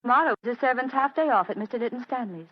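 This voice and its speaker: A woman's voice: fairly high pitch, expressive, very fast. An actress playing a forthright, coaxing, well-bred young British lady client in a radio drama, earnest, polite and self-assured. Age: young adult. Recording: dull lo-fi radio drama recording.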